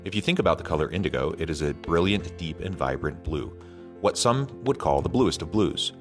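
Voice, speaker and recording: A man with a deep voice reads a scripted promo. Clear music plays behind him as he narrates. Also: animated, a little fast. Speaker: podcast host reading promos.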